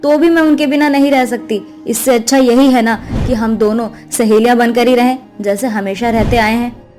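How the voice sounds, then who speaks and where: Lovesick young wife, acted by a woman, high voice, pleading, earnest, cartoon story. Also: steady tone, moderate pace, darkish tone, faint music behind, close to an everyday voice. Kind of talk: Hindi cartoon story acting.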